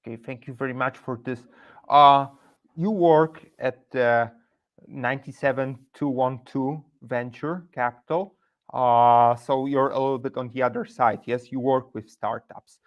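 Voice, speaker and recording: A man, hosting an online interview formally and pausing often with "uh". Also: medium pitch, steady tone, highs cut off. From Poland. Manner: formal, hesitant with "uh" fillers. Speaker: webinar host.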